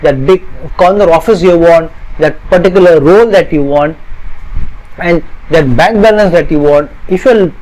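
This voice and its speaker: A middle-aged astrologer man from India with a notably high voice. He explains earnestly, piling up repeated parallel phrases one after another. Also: normal speed, a little mellow, poor audio. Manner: earnest, repetitive, builds lists of parallel phrases. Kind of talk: explanatory talk to camera.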